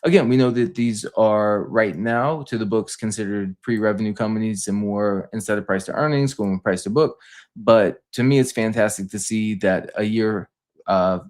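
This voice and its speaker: A man giving an unscripted financial debrief on a livestream, explaining things in a halting, repetitive way. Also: lowish voice, darkish tone. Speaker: stock commentator on a livestream.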